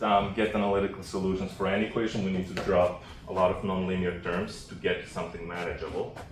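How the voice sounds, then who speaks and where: A man with a low voice presents a technical seminar in an explanatory, halting, informal way. He is picked up from a distance in an echoey room, with faint noise behind him. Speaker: academic researcher presenting. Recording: roomy, distant mic, faint background noise.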